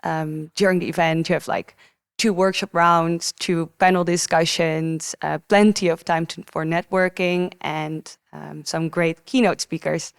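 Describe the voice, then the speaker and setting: Low, gravelly young woman, upbeat. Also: steady tone, a little slow. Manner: upbeat, says like and really. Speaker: event organizer, podcast guest. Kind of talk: casual conversation.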